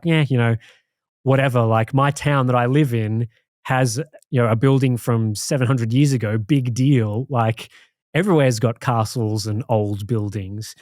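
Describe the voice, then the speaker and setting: A man's voice: mid-range voice, dry recording, studio quality. Laid-back Australian man telling a story. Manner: rambling, relaxed storytelling with many fillers. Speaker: podcast co-host. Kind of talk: casual chat.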